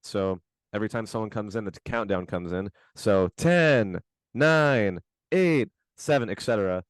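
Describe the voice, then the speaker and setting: A man co-hosting a podcast, his voice hoarse from screaming, chatting in a laid-back, slangy, rambling way from his bedroom at home. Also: fairly low pitch, moderate pace, no room sound. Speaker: podcast co-host. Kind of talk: casual podcast chat.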